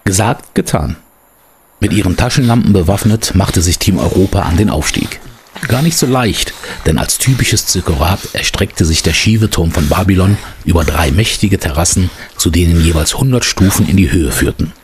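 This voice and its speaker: A man narrating an adventure radio play in a measured storybook manner. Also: deep voice, expressive, noisy background. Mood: measured storytelling. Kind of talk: scripted audio drama narration.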